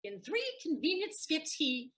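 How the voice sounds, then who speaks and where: Woman voicing a clever teasing young maid, high bright pitch, very lively intonation. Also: unhurried, full character voice. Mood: pointed. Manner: teasing, sharp-witted, mocking. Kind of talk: stage audio play acting.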